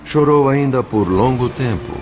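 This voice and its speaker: A man with a Brazilian accent narrating an audio novel in a measured, solemn, literary way. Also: medium pitch, background noise. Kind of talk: dramatic scripted narration.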